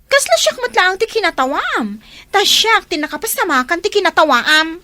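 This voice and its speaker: Woman as embarrassed young girl, Ilocano Filipino accent, very high bright voice, animated, drama acting. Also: normal speed, heavily acted. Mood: hurt, tearful. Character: hurt, embarrassed young girl, Lisa, granddaughter.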